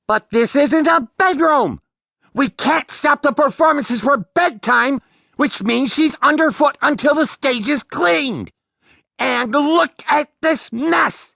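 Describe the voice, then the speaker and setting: Gravelly, exasperated stage manager played by a man. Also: very high voice, slow, bright, forceful tone, no echo, clean studio sound, full character voice. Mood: furious. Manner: indignant, sputtering. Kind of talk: full-cast audiobook acting.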